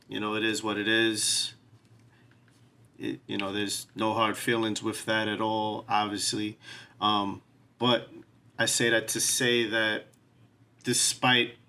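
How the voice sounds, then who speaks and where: Earnest New man, bright voice. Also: lowish voice. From New York City. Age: 40s. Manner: earnest, reflective, casual slang. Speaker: podcast host. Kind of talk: solo podcast introduction.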